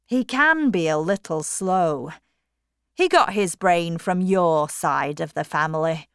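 Female reader voicing a headstrong storybook miller husband, insistent and slow. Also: on the low side, animated, clean tone, no room sound, clean studio sound. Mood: musing. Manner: forceful, emphatic, raised voice. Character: stern middle-aged country miller, stubborn and proud.

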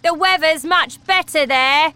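A woman voicing a gruff, impatient father who barks orders loudly. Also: sits high, a little slow, pitched above the performer's own voice. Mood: bossy. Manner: loud, barking orders.

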